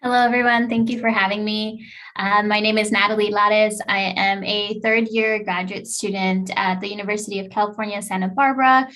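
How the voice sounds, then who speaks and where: A woman's voice: medium pitch, fairly even intonation, a little fast, a bit bright. A woman in her twenties, a native Spanish speaker and graduate student, introducing herself in a friendly way with frequent hesitations.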